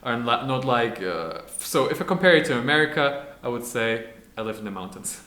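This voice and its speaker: A man's voice: mid-range voice, animated, brisk, sharp tone, echoey room. A man from Germany with a German accent, explaining his country in a casual chat. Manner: explains carefully, restarts phrases.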